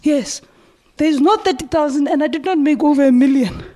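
A woman in the audience, making an animated complaint. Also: sits high, fairly quick. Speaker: audience member.

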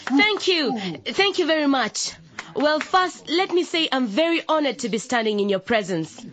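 A woman's voice: high voice, animated, normal speed, crowd noise. An actress playing a young, idealistic woman candidate in a radio drama, speaking in an emphatic, declamatory way. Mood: earnest, rousing. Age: young adult. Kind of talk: radio drama campaign speech.

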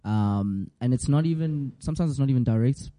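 Zulu-speaking man with a dark, worn voice. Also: mid-range voice, fairly quick. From South Africa. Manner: laughs often, relaxed banter. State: strained voice from shouting. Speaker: podcast co-host. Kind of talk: casual chat. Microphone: studio mic.

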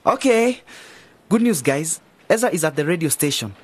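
Lively, swooping eager young guy. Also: on the high side, normal speed, husky. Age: young adult. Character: lively youngster, keen would-be community broadcaster. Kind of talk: radio drama acting.

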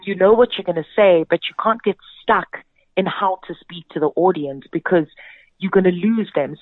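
A woman's voice: mid-range voice, no echo. A South African woman, a theatre director, speaking as a guest in a radio interview. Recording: narrow phone-like sound.

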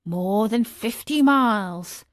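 Woman playing a big male pigeon, unhurried, steady, helpful, matter-of-fact, storybook animal. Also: medium pitch, no room sound, clean studio sound. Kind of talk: storybook character voice.

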